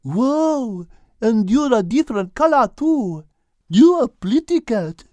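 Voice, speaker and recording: A man doing a high, sing-song lady giraffe. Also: big pitch swings, slow pace, dry recording, dull audio, exaggerated voice. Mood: friendly. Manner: warm, wondering and courteous. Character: friendly, polite female giraffe. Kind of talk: storybook reading.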